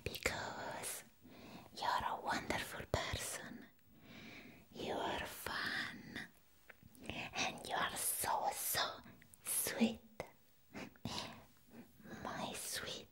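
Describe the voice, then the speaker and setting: A woman who is not a native English speaker, voicing a sweet, doting vampire in a breathy whispered ASMR roleplay, repeating tender words softly. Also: extremely slow, pauses often. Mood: affectionate. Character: sweet, doting female vampire, adoring and clingy.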